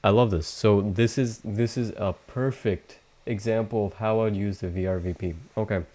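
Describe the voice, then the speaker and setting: A young man with a low voice talks through charts on a live stream. His delivery is monotone and halting, full of restarts and fillers, in a casual lesson style. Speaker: trading stream host.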